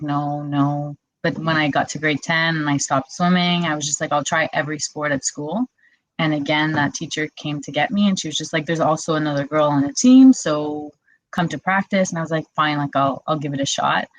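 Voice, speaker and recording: A woman's voice: deep voice, steady tone, a little fast, dry recording, low-quality recording. A woman in her twenties from Quebec, a wrestler chatting casually as a guest and telling her story with lots of "like". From Quebec, Canada. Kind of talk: casual interview chat.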